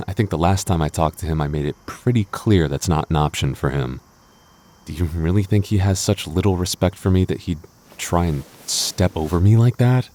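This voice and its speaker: Guy doing a jealous boyfriend rp, really deep relaxed voice, protective, kinda defensive and stammery, up close and intimate. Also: normal speed, lightly acted. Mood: annoyed, possessive. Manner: stammering, defensive, teasing asides. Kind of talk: intimate boyfriend audio roleplay.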